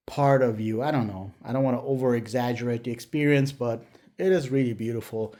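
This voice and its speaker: A man's voice: mid-range voice, darkish tone. A man in his late forties, a non-native English speaker, telling his personal story in a candid, reflective, somewhat hesitant way. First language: not English. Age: late 40s. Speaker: vlogger. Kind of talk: personal life story told to camera.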